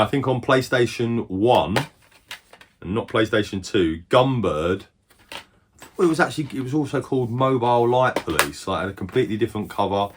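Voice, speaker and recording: A middle-aged man casually showing off his game collection, rambling and correcting himself as he goes, a little hoarse. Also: medium pitch, fairly bright tone, slight background noise. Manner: rambling, chatty, self-correcting. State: losing his voice. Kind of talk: casual collection show-and-tell.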